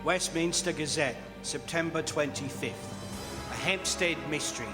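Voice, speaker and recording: An actor playing a formal newspaper report reader, declaiming scripted news in a radio drama. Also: male voice, a little high, natural voice. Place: performing arts center stage. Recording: echoey theatre hall with music behind.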